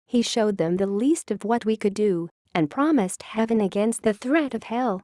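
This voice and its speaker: Mellow, close-miked woman playing a grave scientist confessing. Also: mid-range voice, normal speed, dry recording, close to an everyday voice. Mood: reflective, grave. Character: male founder scientist confessing his secret organization's history, wistful and grave. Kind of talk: scripted monologue, audio log narration.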